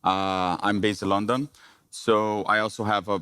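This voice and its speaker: Brazilian-accented man giving a halting talk. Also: on the low side, little pitch movement, bright, forceful tone, smooth, dry recording, studio quality. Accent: Brazilian Portuguese. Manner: halting, many fillers and restarts. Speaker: conference presenter. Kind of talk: business conference presentation.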